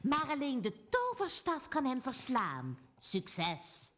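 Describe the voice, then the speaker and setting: A calm, commanding young princess speaks from an old game cutscene in a high, swooping voice. She draws each word out slowly, and the sound comes from far off through the stream. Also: full character voice. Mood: solemn. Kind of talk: dubbed game cutscene dialogue.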